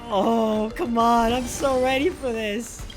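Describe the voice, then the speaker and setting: A young woman giving casual, slangy live gameplay commentary as an excitable streamer who swears freely. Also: fairly high pitch, takes it slowly. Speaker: game streamer. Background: loud game music.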